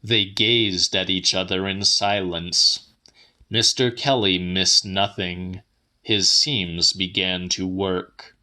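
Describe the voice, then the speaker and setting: A man with a fairly low, forceful voice narrates literary fiction. He reads slowly and precisely, shaping each phrase with a touch of drama. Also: level tone. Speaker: audiobook narrator.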